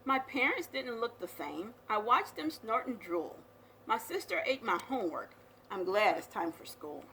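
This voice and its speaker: A woman reading a children's picture book aloud as a storyteller, with expressive, dramatic delivery. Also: fairly high pitch, normal speed, slight background noise, low-quality recording.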